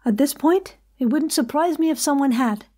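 A woman's voice: high voice, normal speed, warm tone, close to an everyday voice. A woman playing a skeptical rescue team member in audio drama dialogue.

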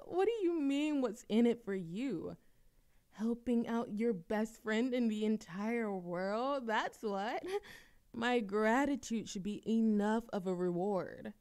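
A woman's voice: fairly high pitch, takes it slowly, smooth. A woman playing a playful, dramatic young woman chatting teasingly with a best friend in an audio roleplay. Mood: eager, persuading. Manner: animated complaining, teasing, theatrical exasperation. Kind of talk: intimate friend roleplay audio acting, conversational.

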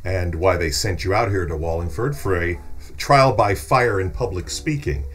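A man's voice: deep voice, moderate pace, a bit bright, breathy edge, faint music behind. A middle-aged man playing a genial, teasing local podcast host in a scripted interview. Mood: curious. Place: small furnished podcast studio. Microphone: studio microphone on a desk stand.

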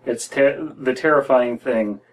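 A man's voice: medium pitch, a little slow, poor audio. Man, American, thirties, mid-range voice, podcast co-host, story reading, wry commentary. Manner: wry, critical asides. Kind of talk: reading a horror story aloud with mocking commentary. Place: small room indoors.

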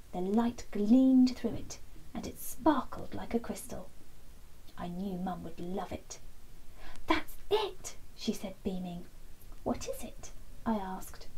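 Female storybook reader, thirties: gentle and mellow, lively intonation, breathy edge. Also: a little high, low-quality recording. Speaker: children's bedtime story reader. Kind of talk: storybook read-aloud. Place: small indoor den with fabric and soft toys.

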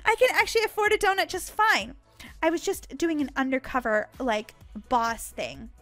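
A woman's voice: high-pitched, expressive, normal speed, sharp tone, soft background music. A woman voicing a polite, sweet, earnest young female shop boss in a video game roleplay. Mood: earnest, confident.

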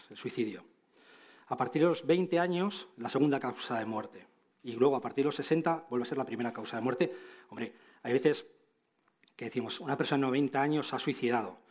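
A man's voice: mid-range voice, darkish tone. A middle-aged man with a Castilian Madrid accent giving an informal conference talk, with wry asides and frequent tag questions. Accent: Castilian Spanish from Madrid. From Spain. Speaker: conference speaker, clinician. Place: large auditorium stage, indoors.

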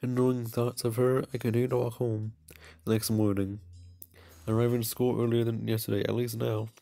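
A man reading a visual novel aloud with casual side comments, halting and stumbling now and then. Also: medium pitch, flat delivery, gravelly, mellow, faint music behind. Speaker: video game player and narrator.

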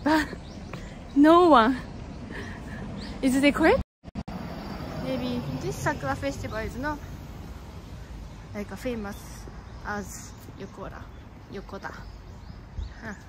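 Japanese woman in her twenties, easygoing vlogger, speaks slowly in a high voice over street noise. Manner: chatty, reads viewer comments, hums song snippets. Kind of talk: casual live-stream chat. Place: suburban street outdoors, walking. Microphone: phone or action camera at arm's length. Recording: noisy outdoor street sound.

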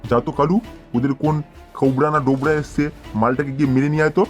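A man's voice: medium pitch, level tone, quick pace, faint music behind, close to an everyday voice. A man voicing a menacing, foul-mouthed village gang boss in a comic cartoon, bullying and barking orders. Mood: menacing, commanding.

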